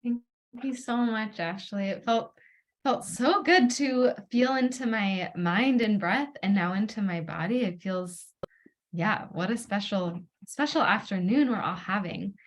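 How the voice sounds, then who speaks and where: A woman moderates an online panel over a video call. She speaks loosely and rambles a little, with fillers, as she thanks speakers and passes the floor. Her voice sits at a middle pitch. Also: measured pace. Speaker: event moderator.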